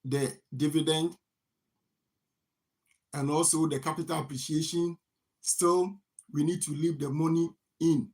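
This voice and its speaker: A man with a somewhat high voice delivers a motivational money talk. He repeats phrases over and over and keeps asking the listener "what?" before giving the answer himself. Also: steady tone, measured pace, pauses often, a little mellow. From Ghana. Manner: repetitive, poses rhetorical "what?" questions and answers them. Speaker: farming channel host. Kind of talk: motivational finance talk to camera.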